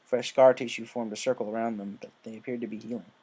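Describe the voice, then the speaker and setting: A man's voice: mid-range voice, steady tone, fast talker, dark tone, slightly rough. A man reading a scripted story aloud as narrator, in audiobook style.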